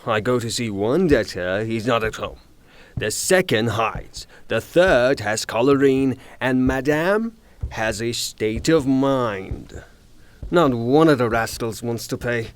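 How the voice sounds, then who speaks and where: A man's voice: medium pitch, lively intonation, slow pace, clear, resonant tone. A man playing an angry, blustering, woman-hating creditor, ranting theatrically in an audio drama. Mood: exasperated, ranting. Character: angry blustering male creditor, hot-tempered woman-hater.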